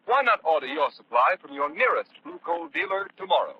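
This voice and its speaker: A man's voice: sits high, wildly swooping pitch, fairly quick, vocal fry. A man announcing in a polished, emphatic old radio style, like a 1940s advertising spot. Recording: muffled, faintly hissy old radio recording.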